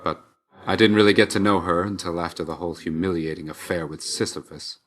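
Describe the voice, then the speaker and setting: Very deep-voiced, reserved god of death. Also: male voice, level tone, brisk, fairly bright tone, slight background noise. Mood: hesitant, reflective. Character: aloof, dutiful young male god of death, quietly caring. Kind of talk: video game character voice acting.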